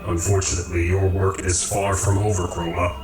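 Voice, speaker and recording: A man in a radio drama playing a calm, commanding creator of realities who speaks in measured, formal, cryptic phrases. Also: deep voice, close to an everyday voice. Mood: cryptic, grave. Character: calm, commanding cosmic creator, male, cryptic philosophical overseer. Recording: roomy echo, distant, music underneath.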